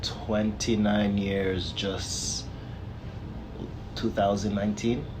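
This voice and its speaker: A man speaking as a guest in a casual discussion, slow and measured, often adding "you know". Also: on the low side, level tone, background noise.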